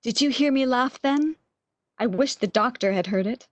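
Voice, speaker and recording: Woman acting a high-voiced, wistful bedridden romantic heroine. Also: moderate pace, no echo, close to an everyday voice. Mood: eager, nostalgic. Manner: breathless, emotional, old-fashioned melodramatic phrasing. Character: bedridden lovesick woman, wistful, eager, longing for lost love. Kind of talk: old-time radio romance acting.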